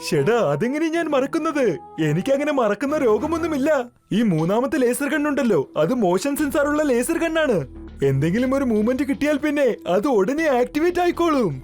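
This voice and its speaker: A man voicing an excitable, absent-minded, boastful male scientist in a children's cartoon, fussy and full of exclamations. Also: very high-pitched, fairly quick. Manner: fussy, exclamatory, forgetful, repeats words. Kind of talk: children's cartoon dubbing in Malayalam. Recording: music behind the voice.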